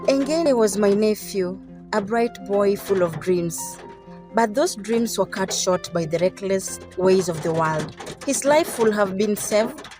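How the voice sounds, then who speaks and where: Woman playing a slow, mournful aunt over music. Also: mid-range voice, natural voice. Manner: mournful, measured. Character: grieving aunt addressing a funeral gathering. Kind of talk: radio drama acting. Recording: prominent music under the voice.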